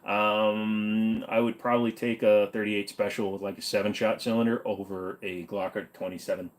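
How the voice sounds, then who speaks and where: A man's voice: lowish voice, flat delivery, moderate pace. A man hosting a casual livestream Q&A, answering viewer questions in an offhand, blunt, joking way with some swearing.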